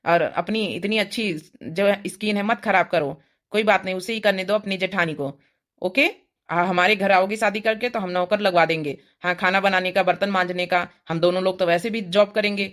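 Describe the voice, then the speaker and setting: A woman voicing a flattering, pushy young male schoolteacher in a cartoon comedy, coaxing his lover. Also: mid-range voice, fairly quick, lightly acted. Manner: coaxing, smooth-talking.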